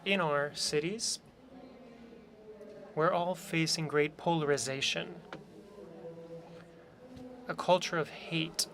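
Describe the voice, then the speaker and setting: A man interpreting a conference panel into English, speaking in measured short phrases. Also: fairly high pitch, a bit bright, a little hiss or hum.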